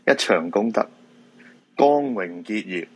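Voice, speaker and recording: A man's voice: lowish voice, animated, slow, husky, exaggerated voice. A man with a Hong Kong Cantonese accent playing a weary middle-aged feng shui master and father in a radio drama, resigned and down-to-earth. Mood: apologetic, genial.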